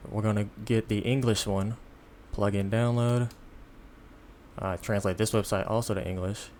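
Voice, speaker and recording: A man giving a casual, unscripted software tutorial in an informal, halting, step-by-step way, with background noise. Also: lowish voice, fairly even intonation. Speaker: tutorial presenter.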